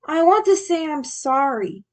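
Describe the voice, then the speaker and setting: A woman's voice: high voice, big pitch swings, unhurried, pitched above the performer's own voice. A woman reading the part of an earnest, sheepish teenage male turtle leader in a fan story. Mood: apologetic, nervous.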